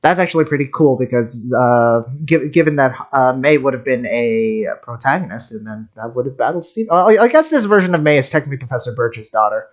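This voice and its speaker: A streamer of middling pitch talks through game content off the cuff. The delivery is wry and geeky, wandering as thoughts arrive. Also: animated, brisk, hardly any pauses. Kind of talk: casual stream commentary on game voice lines.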